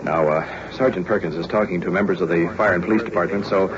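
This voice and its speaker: An American man narrating an on-the-scene 1950s radio documentary as a police recorder, speaking deliberately and unscripted. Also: sits low, fairly even intonation. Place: on location in police station and outdoors. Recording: dull vintage radio recording, crowd noise.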